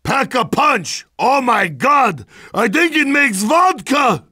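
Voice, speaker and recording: A boozy, sardonic Russian soldier for a video game. His voice sits high and swings widely, with a forceful edge. He blusters and mocks in thick Russian-accented broken English, dropping his articles. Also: sing-song, slow, slightly rough, no echo, clean studio sound, full character voice. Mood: impatient, boisterous. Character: hard-drinking boisterous Russian soldier, gruff and sardonic. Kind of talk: video game character barks.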